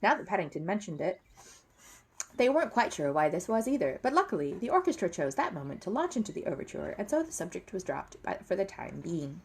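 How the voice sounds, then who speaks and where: Woman, storybook narrator, lowish pitch, hugely varied intonation, expressive delivery. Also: brisk, husky. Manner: expressive, dramatic emphasis. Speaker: storybook reader. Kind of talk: animated children's story narration with character voices.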